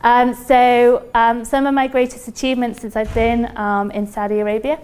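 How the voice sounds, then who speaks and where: Clear-voiced British woman presenting. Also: fairly high pitch, little pitch movement, moderate pace, a little mellow. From United Kingdom. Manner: chatty, addressing an audience. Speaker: campus recruiter giving a company presentation. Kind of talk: informal workshop presentation. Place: lecture room at a university.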